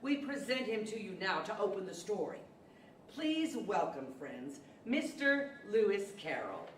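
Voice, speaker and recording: A woman announcing in a scripted old-time radio style, theatrical and deliberate, on a stand microphone in a large hall. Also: mid-range voice, big pitch swings, recorded from a distance, heavy background noise. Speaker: announcer of a live audio theater show. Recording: echoey hall, picked up from afar.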